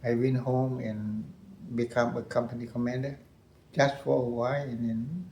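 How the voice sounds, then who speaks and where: An older man with a Lao accent, a retired colonel recounting his war years in halting English, repeating phrases and laughing often. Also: medium pitch, level tone, darkish tone, roomy sound, a little hiss or hum. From Laos. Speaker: interview guest, veteran colonel.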